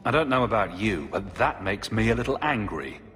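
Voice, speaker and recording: Lowish, lively wry fantasy hero. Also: male voice, a little fast. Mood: wry resolve. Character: determined, wry fantasy hero, an adult brother. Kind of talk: dramatic video game acting. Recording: long hall reverb, faint music behind.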